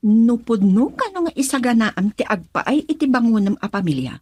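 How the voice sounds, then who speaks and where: An actress plays a frank woman who stands up for her friend in a radio drama. Her Ilocano-accented voice is somewhat husky, and the sound is dry and close. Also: on the high side, sing-song, normal speed, a little mellow, no room sound. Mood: reproachful. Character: woman scolding her best friend's wavering boyfriend.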